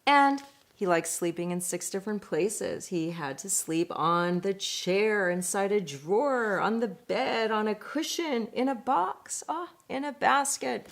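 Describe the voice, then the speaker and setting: A woman tells a picture-book story at a slow pace in a medium-pitched voice. Her delivery is expressive and deliberate. She slips into chatty asides to explain things to her listeners. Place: indoors at home.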